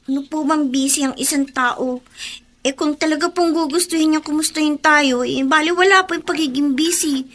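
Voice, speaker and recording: A woman's voice: high-pitched, moderate pace, a bit bright. A woman acting as a young granddaughter in a radio drama, earnest and respectful. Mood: resentful.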